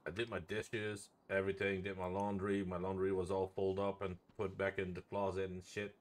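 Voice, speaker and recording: A man's voice: deep voice, completely flat delivery, normal speed. A man chatting casually while streaming a game, swearing freely and repeating phrases playfully. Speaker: live streamer playing a roleplay game.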